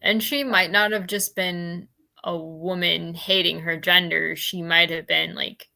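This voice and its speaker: A woman with a bright, forward voice and plenty of vocal fry hosts a casual book chat. She speaks tentatively, working out her thoughts as she goes. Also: on the low side, fairly even intonation, a little slow. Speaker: host of a book discussion livestream.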